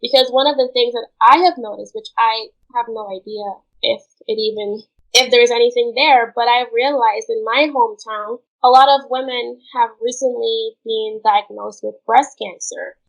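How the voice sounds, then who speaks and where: A woman's voice: fairly high pitch, fairly even intonation, normal speed, gravelly, hardly any pauses, poor audio. A woman from Guyana speaking as an interview guest, explaining things in an informal, conversational way. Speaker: interview guest, cancer researcher.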